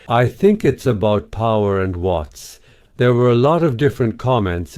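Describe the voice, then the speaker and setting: A man's voice: on the low side, darkish tone, no room sound, studio quality. A man working as an audio engineer, explaining hi-fi topics in a casual, methodical and slightly hesitant way.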